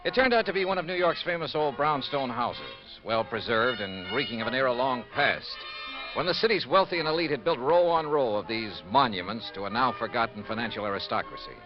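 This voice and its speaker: An actor playing a brisk, hard-boiled insurance investigator, clipped and wry, in 1950s radio detective style. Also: male voice, mid-range voice, very animated, over music, close to an everyday voice. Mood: dry narration. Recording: dull vintage radio recording.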